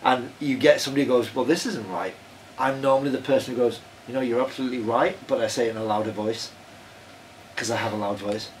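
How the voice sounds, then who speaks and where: A man rambles through self-mocking stories in a relaxed podcast chat. He sits a little back from the mic, and a faint hum of room noise sits under his voice. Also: mid-range voice, fast, distant mic, low-quality recording. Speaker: podcast co-host. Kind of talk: casual chat.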